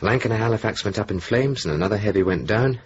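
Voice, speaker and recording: An actor playing a mild young RAF officer with clipped, understated, quietly droll replies, in naturalistic radio drama style. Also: male voice, lowish voice, fast, breathy edge. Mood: subdued. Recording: muffled, noisy old radio broadcast.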